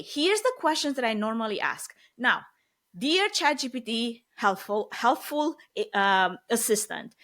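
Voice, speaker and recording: A woman in her forties with a Romanian accent, a non-native English speaker talking animatedly on a video call as an interview guest. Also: fairly high pitch. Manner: animated, talks with her hands, uneven tempo. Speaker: guest, AV production business owner. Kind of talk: casual interview chat. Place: home office with lit bookshelves.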